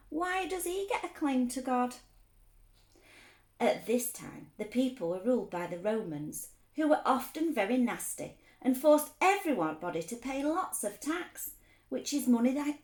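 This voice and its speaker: Woman, children's storyteller, lively swooping intonation, simple teacherly explanations, roomy echoey sound. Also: a little high. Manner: expressive, explains things simply for young listeners. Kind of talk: children's storytelling, read aloud with asides.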